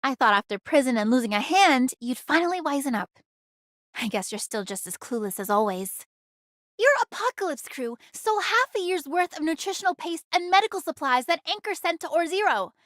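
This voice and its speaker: A woman's voice: high voice, sing-song, a little fast, fairly bright tone, no room sound, clean studio sound. A woman voicing a feisty, indignant young woman in an anime video game, speaking in an emphatic, scolding way. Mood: indignant, accusing.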